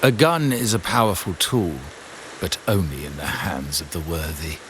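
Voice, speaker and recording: A man's voice: low voice, normal speed, background noise, natural voice. A man voicing a stern, imperious older uncle in a crime family for a video game, speaking curtly and formally. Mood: stern, commanding. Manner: curt, commanding, formal. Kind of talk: scripted video game voice acting. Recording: loud background music over dialogue.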